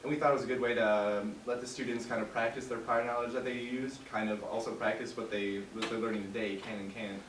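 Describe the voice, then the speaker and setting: A man's voice: medium pitch, quick pace, hardly any pauses. A young man giving a class presentation, explaining casually with many fillers, recorded from the back of a large classroom. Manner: relaxed, filler-heavy explaining. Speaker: student presenter. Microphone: far camera. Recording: echoey room from far off, noisy.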